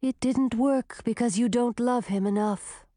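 A woman's voice: on the high side, no echo, clean studio sound, close to an everyday voice. A woman voicing a cool, knowing forest spirit. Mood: calm knowing. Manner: calm, measured, knowing.

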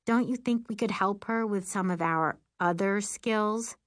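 A woman voicing an excitable, sarcastic, anxious teenage girl in an audiobook. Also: mid-range voice, unhurried, no echo, clean studio sound, lightly acted. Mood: sarcastic, urging.

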